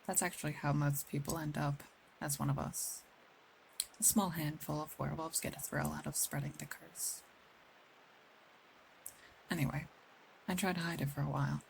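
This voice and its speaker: Woman voicing a gentle, gravelly werewolf, faint hiss behind. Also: sits low, poor audio. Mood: matter-of-fact, wry. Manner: gentle, soothing, confiding, with dramatic pauses. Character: caring, protective female werewolf, older than she looks, wistful. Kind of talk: ASMR roleplay, intimate scripted acting addressed to one listener.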